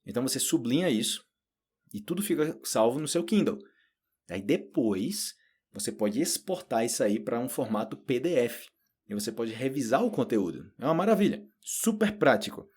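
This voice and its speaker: A man's voice: mid-range voice, moderate pace, slightly rough. Chatty Brazilian man teaching Portuguese. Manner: chatty, animated, acts out quoted inner thoughts. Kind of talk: casual spoken lesson.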